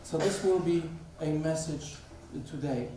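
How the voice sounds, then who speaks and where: A man's voice: a little high, unhurried, warm tone, distant mic, a little hiss or hum. Polish-accented man preaching in an echoey church. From Poland. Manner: chatty and informal, asks the congregation questions. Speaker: guest speaker, theology professor. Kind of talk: conversational sermon. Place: church sanctuary. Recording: echoey church hall, picked up from afar.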